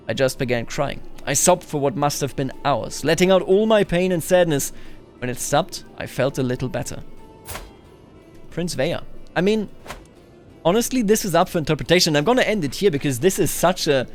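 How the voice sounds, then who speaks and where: A man hosting a game playthrough, musing aloud and reading in-game text with playful theatrical flair. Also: a little high. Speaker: host of a let's play series.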